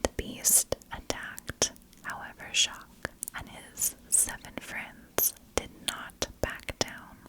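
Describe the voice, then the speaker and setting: A woman whispers a scripted story in the style of an ASMR narrator. Her delivery is very slow and deliberate, with every phrase hushed and unvoiced. It is measured storytelling from start to finish. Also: low-quality recording. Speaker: ASMR storyteller and channel host.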